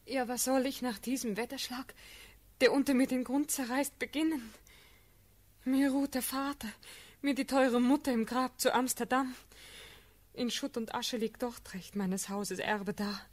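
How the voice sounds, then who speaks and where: A woman's voice: mid-range voice, fairly even intonation, unhurried, few pauses, lightly acted. An actress playing an anguished, tender young noblewoman, speaking elevated, emotional verse in a classical radio play. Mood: despairing.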